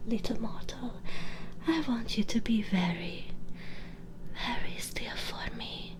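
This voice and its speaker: A woman voicing a menacing, vengeful female vampire in an intimate ASMR roleplay, breathy and whispery, taunting and condescending with deliberate phrasing, close to the microphone. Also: mid-range voice, poor audio. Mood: threatening, teasing. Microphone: close binaural microphone.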